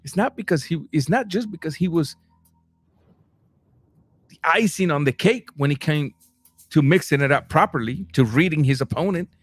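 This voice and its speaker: A man from Cuba, hosting a casual boxing livestream and reading viewer comments, animated, stumbling over his words and jumping up in pitch. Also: normal speed, pauses often, slightly rough, no echo, faint music behind. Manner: animated, repeats words and restarts, rising to high bursts.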